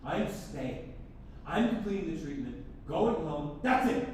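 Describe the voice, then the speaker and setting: A man plays a troubled, anxious man in a stage drama. His high voice swings wildly, tense and hesitant. It comes through heavy room echo. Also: sing-song, unhurried. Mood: defensive, then agitated. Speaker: actor in a staged reading. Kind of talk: live dramatic staged reading. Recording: very echoey room, distant mic, rumble.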